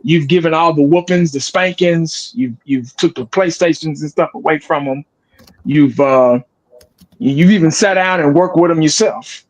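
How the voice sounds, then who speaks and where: Man, forties, sports creator; slightly high voice, blunt and profane, rambling delivery with restarts. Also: moderate pace, rough recording. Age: 40s. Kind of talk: casual podcast chat.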